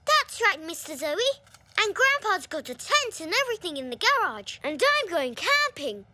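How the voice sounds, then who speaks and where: A performer playing an eager, excitable five-year-old boy zebra, childlike and expressive, in a children's cartoon. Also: very high-pitched, moderate pace, dry recording, exaggerated voice. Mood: earnest.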